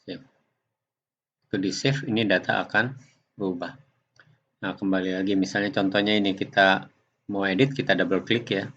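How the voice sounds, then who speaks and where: A man giving an unscripted software tutorial, explaining step by step in a halting way. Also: sits low, steady tone. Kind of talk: unscripted screen-recording tutorial. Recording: dull lo-fi home recording.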